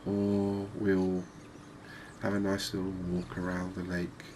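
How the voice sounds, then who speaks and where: A man giving relaxed, unhurried ASMR-style commentary on a video game, musing conversationally as he goes. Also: low-pitched. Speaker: gaming video host. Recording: clearly noisy background ambience.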